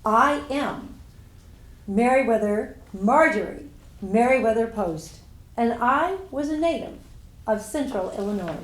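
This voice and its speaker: A woman's voice: fairly high pitch, lively intonation, measured pace, noisy background. A woman reading the part of a dignified society woman from Illinois in a staged monologue. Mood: proud. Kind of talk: dramatic reading, first-person monologue. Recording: roomy, echoing live event hall.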